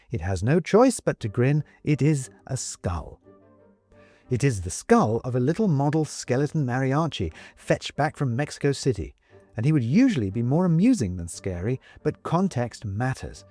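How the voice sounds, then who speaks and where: A British man reading a scripted story as narrator, measured, wry and emphatic in his delivery. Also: mid-range voice, dark tone, dry recording. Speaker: audiobook narrator.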